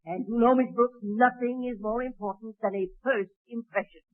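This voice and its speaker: A fussy, worried high school principal in a 1940s radio sitcom. He speaks politely in a very high voice. The broadcast recording is muffled and thin. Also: steady tone, normal speed. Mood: anxious. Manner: polite, fretful. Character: anxious, fussy middle-aged male high school principal. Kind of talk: 1940s radio comedy acting.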